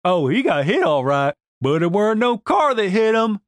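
A narrator voicing a brash, foul-mouthed young country woman with a blunt, excitable, folksy drawl, as audiobook character dialogue. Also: mid-range voice, normal speed, no room sound, voice pushed up, natural voice. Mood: sheepish, defiant.

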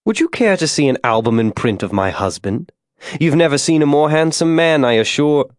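The proper, wistful wife chatting politely in a hotel doorway in a western audiobook, stubbornly proud, pitched up a bit, as read by a man. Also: lively intonation, moderate pace, no room sound, studio quality, close to an everyday voice. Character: proud, genteel, stubbornly waiting frontier wife. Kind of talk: audiobook character dialogue.

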